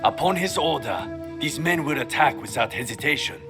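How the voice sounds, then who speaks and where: A man playing a calm, courteous and wary informant in a samurai video game, speaking in a measured, cautionary way. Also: on the high side, over music. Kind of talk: dramatic video game cutscene acting.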